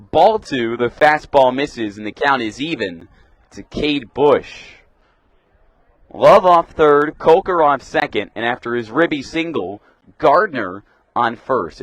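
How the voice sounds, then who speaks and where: Young guy doing radio baseball play-by-play, crisp announcer style, punches the pitch calls, dry sound. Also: expressive, takes it slowly, no room sound. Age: young adult. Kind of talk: live sports commentary.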